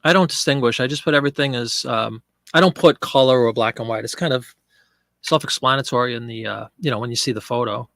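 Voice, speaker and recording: Brief: middle-aged male host with a cold, gravelly and congested, rambling live-stream chat, dry close sound. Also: medium pitch, quick pace, a bit bright, dry recording. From Pennsylvania. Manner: chatty, rambling, answers viewer chat. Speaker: live-stream eBay seller.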